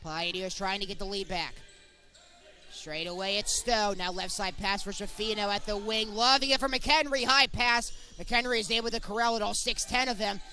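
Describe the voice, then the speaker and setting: A young man doing excitable basketball play-by-play, narrating each pass in clipped phrases. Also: high-pitched, moderate pace, faint music behind. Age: young adult. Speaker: sports commentator.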